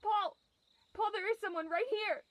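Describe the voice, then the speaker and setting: An actress playing a frightened, pleading wife in an old-time radio horror play, anxious and breathless. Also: female voice, extremely high pitch, normal speed, crowd noise. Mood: panicked.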